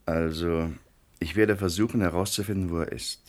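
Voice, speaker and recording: Man acting a deep-voiced, defensive father. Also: steady tone, normal speed, natural voice. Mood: cautious, probing. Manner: defensive, evasive. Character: evasive father, district inspector, defensive and uneasy. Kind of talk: German radio play acting.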